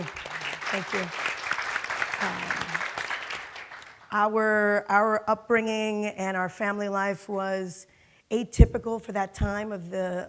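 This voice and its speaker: A middle-aged woman from Miami speaking on a conference panel, telling her career story with lots of fillers. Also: mid-range voice. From Miami, Florida. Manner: lively storytelling with frequent fillers and laughs. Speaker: panelist, corporate security executive. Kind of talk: panel talk. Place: conference hall.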